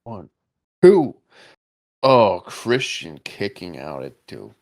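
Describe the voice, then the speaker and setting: A man co-hosting a podcast, reacting to an old wrestling match with excitable jokes and casual swearing. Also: fairly low pitch, slow pace. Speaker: podcast co-host. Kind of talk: casual wrestling commentary chat.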